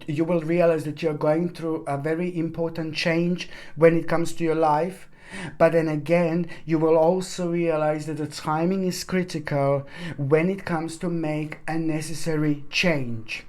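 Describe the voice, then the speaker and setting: A woman's voice: sits low, darkish tone. A woman reading a weekly tarot forecast, talking in a gushing, effusive way with many fillers and restarts, and calling her listeners by pet names. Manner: addresses listeners as "my gorgeous" sign. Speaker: tarot reader.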